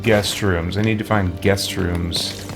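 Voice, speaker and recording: A man's voice: sits low, steady tone, takes it slowly, vocal fry. A man doing casual livestream gaming commentary, thinking aloud as he plays. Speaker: livestreaming gamer and host. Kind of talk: casual let's play commentary. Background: game music.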